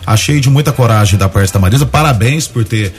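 A man's voice: lowish voice, brisk, slight background noise. Hesitant man with a cold. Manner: hesitant, many filler restarts. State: has a cold. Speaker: comedian guest. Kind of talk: radio studio interview.

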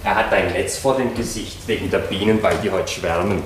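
An actor playing a talkative, obliging village parsonage attendant in a radio drama, with a helpful manner. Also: male voice, low-pitched, a little fast, lightly acted. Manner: chatty, helpful. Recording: echoey, faintly noisy radio recording.

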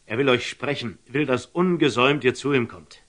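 An actor playing a wise, cautious fatherly merchant in a classical German radio drama, speaking gently and deliberately in standard German. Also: medium pitch, lightly acted. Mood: calm, urging.

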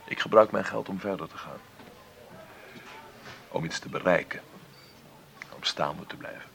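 Low, gravelly, sardonic rich businessman. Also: fairly bright tone, slight background noise, heavily acted. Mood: bitter, scornful. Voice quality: gravelly, creaky. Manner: sardonic, deliberate, condescending. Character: wealthy, bitter, sardonic self-made businessman. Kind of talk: radio play acting.

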